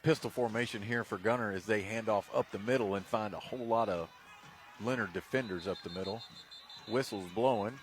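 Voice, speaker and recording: A man with an American accent doing live high school football play-by-play, with folksy, joking banter between plays. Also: a little fast, soft background music. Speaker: play-by-play announcer. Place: outdoors at a football game. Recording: noisy outdoor live sports broadcast. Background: stadium ambience.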